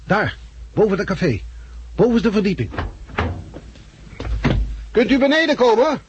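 A man's voice: high-pitched, big pitch swings, unhurried, lo-fi sound. A man playing a wary, questioning survivor of a catastrophe in a 1960s radio drama. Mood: agitated. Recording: hissy 1960s radio recording.

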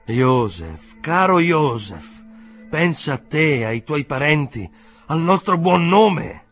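Guy doing an agitated bossy old uncle in an audiobook, raised voice, high n all over the place, really indignant. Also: sing-song, slow pace, faint music behind, exaggerated voice. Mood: pleading, indignant. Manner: shouting, exclamatory, insistent. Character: agitated, domineering older uncle, loud and indignant.

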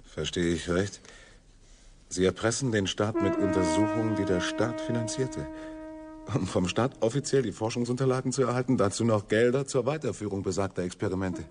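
A man's voice: on the low side, a little fast, over loud music, natural voice. An actor playing a sceptical, indignant young man held captive in a German radio drama, dry, pointed and formally polite.

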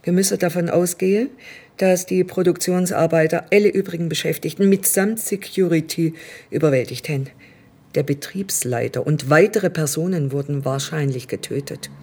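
A woman's voice: deep voice, normal speed, darkish tone, slightly rough. A woman acting in a radio play as a menacing, condescending state premier who speaks with controlling courtesy. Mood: feigned friendliness.

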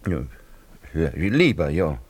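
A man's voice: a bass voice, big pitch swings, takes it slowly, breathy edge, exaggerated voice. An actor with an Austrian accent playing a middle-aged father, wary, hesitant and stammering under pressure in a radio drama. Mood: alarmed, reluctant.

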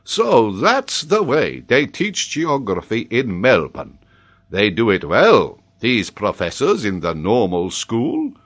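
A man voicing an excitable, theatrical French geographer in a storybook, exclamatory and mock-admiring, drawing out his words. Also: lowish voice, slow, voice pushed up, heavily acted. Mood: amused astonishment.

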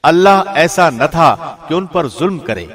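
A man reading a scripted scripture translation in a formal, deliberate radio presenter's delivery. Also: on the high side, expressive, a bit bright.

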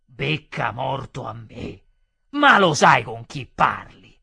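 A man's voice: medium pitch, very animated, takes it slowly, creaky, breathy edge, no echo, studio quality, exaggerated voice. A man voicing a fat, pompous, bullying customer who blusters indignantly, in a story reading. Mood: outraged. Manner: indignant, shouting insults.

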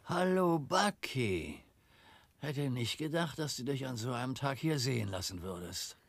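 An actor playing a bored, decisive film producer in a radio drama. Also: male voice, medium pitch, expressive, unhurried, creaky. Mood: dry, sociable.

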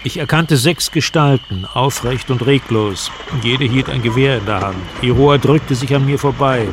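An actor plays a male traveller telling of a river journey through the jungle. His voice sits at a middle pitch, in scripted radio drama narration, with clear ambient noise around him. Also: normal speed, close to an everyday voice. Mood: measured, suspenseful. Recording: noisy outdoor ambience behind voice.

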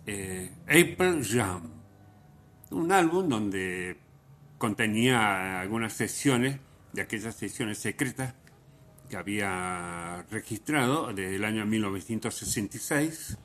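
A man hosting a radio show, telling music stories unscripted in a halting way, repeating phrases for emphasis. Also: fairly low pitch, lively intonation, a bit bright. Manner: halting, with frequent repetition for emphasis. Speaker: radio host.

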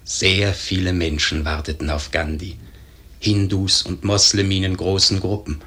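A man playing a grave storyteller, narrating a literary radio play in measured, formal diction. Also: deep voice, level tone, pushed and bright, slightly rough, heavily acted. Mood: solemn, measured. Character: grave male storyteller narrating a historic tragedy.

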